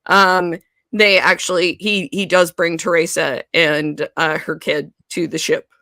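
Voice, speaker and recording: A woman co-hosting a podcast, chatting casually about a TV series, animated and laughing often. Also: on the low side, unhurried, no room sound, clean studio sound. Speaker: podcast co-host. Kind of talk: casual fan discussion.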